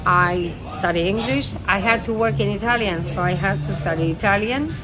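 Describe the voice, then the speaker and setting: A woman from Spain whose first language is Spanish, a tour guide answering interview questions in a lively way, laughing often and searching for words. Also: medium pitch, moderate pace.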